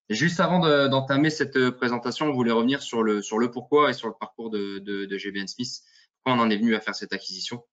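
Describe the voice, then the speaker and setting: A man's voice: mid-range voice, fairly bright tone. A man hosting a webinar, chatty and joking, with many fillers, heard over a video call. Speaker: webinar host. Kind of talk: casual webinar presentation. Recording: dull lo-fi call audio.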